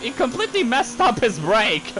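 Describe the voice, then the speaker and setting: A man's voice: very high voice, wildly swooping pitch, pushed and bright, rough recording. A man whose first language is French, casually commenting while playing a racing game, rambling and breaking into sudden outbursts. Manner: rambling, easily distracted, quick outbursts. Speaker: gaming YouTuber. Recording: gameplay audio with clear engine noise.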